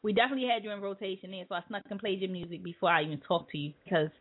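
A woman's voice: mid-range voice, fairly even intonation, rapid-fire. A woman hosting an internet radio show, chatting casually and laughing often as she interviews a guest. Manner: laughs often, slangy and playful. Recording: tinny phone line.

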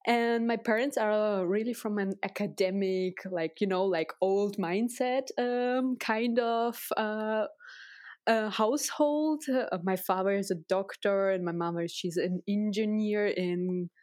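A woman's voice: mid-range voice, measured pace, darkish tone, dry recording. Russian accent woman, guest on a podcast, lots of "like", kinda hesitant, dry close sound. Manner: hesitant, fills speech with "like". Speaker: guest, tattoo artist. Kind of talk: casual interview talk.

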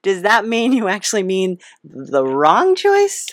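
A woman hosting a casual comedic interview, speaking in a hesitant, halting, giggly way. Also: mid-range voice, huge pitch swings, dry recording. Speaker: podcast host, interviewer.

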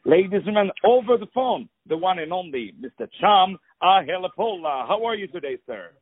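A man's voice: high-pitched, very animated, fairly quick, no echo. Effusive male radio host, lo-fi sound. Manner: effusive, repeats words, many uh fillers. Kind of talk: radio interview hosting. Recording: dull lo-fi radio sound with faint music.

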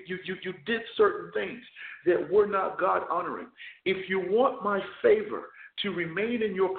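A man preaching a radio sermon as chaplain and host, hoarse and losing his voice, often stopping to restart or repeat words. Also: high voice. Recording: tinny phone line, echoey.

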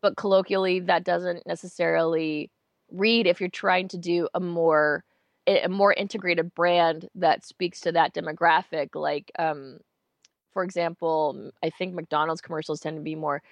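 Casual interview guest: a woman with a low-pitched voice, chatty and wry, recorded dry and close. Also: steady tone, vocal fry, no room sound. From United States. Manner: chatty, self-mocking, quick asides. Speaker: voice actor guest.

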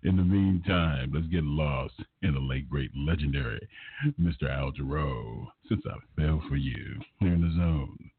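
Very deep, husky male radio host. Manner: effusive, flattering patter with drawn-out phrases. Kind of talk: radio music show hosting. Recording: muffled lo-fi audio, roomy echo.

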